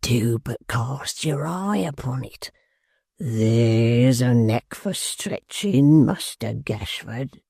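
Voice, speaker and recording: A gravelly, wheezing old hangman with a sly, ghoulish relish in every word, done by a male narrator. He draws words out slowly, as if savouring them. The sound is dry and close. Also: medium pitch, darkish tone, no room sound. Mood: hoarse whisper.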